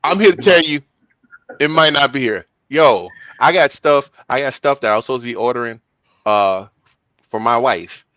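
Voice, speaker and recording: A man's voice: medium pitch, moderate pace, fairly bright tone, no echo, dull audio. A man co-hosting a podcast in casual chat, joking and repeating words as he talks. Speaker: podcast co-host.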